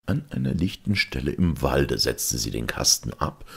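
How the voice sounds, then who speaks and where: Super deep creaky guy reading a fairy tale, theatrical storyteller delivery. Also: moderate pace. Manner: theatrical, expressive. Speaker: storyteller, audiobook reader. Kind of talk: dramatic scripted fairy-tale narration.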